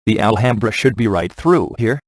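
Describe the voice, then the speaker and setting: A male actor plays a sarcastic, laid-back ghost hunter from a video game. His voice sits low and is recorded close and dry. He talks in glib wisecracks with a sardonic edge. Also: normal speed, no room sound, lightly acted. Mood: wry, glib. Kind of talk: scripted video game character dialogue.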